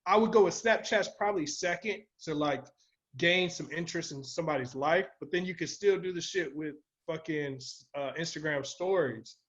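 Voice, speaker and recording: A man with a fairly high voice chats as a podcast co-host, recorded slightly dull and muffled. His talk is blunt and slangy, full of casual swearing. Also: moderate pace, fairly bright tone. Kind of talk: casual chat, podcast discussion.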